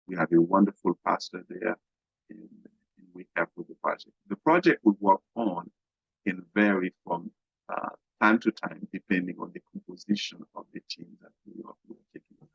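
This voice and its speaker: A middle-aged man from Haiti, a guest business coach talking haltingly in an interview, with many fillers and restarts. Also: low-pitched, creaky, breathy edge, no echo. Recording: dull, dry lo-fi sound.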